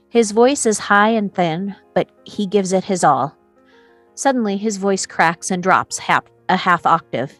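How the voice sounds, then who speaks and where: A woman's voice: mid-range voice, dry recording. A woman reads screenplay stage directions as scripted narration, setting scenes and describing action.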